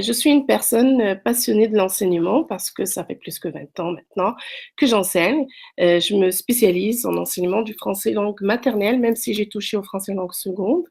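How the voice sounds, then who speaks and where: Middle-aged woman with a gravelly voice. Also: medium pitch, a little mellow. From Morocco. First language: French, also speaks Arabic. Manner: hesitant, frequent fillers and restarts. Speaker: French tutor. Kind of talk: self-introduction to prospective students. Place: Quebec, Canada.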